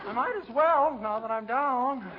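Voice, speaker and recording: An actor playing a timid, excitable, flustered man in a 1940s radio comedy. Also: extremely high pitch, a little slow. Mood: meek. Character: flustered, excitable, timid male house guest. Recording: dull old radio recording, crowd behind.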